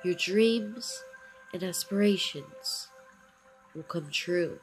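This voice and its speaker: A woman narrating a scripted guided meditation in a soothing, encouraging manner, with short phrases and long pauses. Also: fairly low pitch, low-quality recording. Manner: encouraging affirmations. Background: background music.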